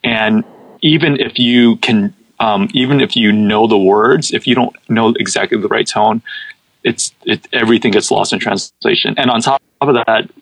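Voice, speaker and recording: A man's voice: fairly low pitch, normal speed, vocal fry, a bit bright, no room sound, low-quality recording. A man who grew up speaking Cantonese, a podcast guest chatting casually, laughing often and repeating words as he starts sentences. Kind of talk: casual interview chat.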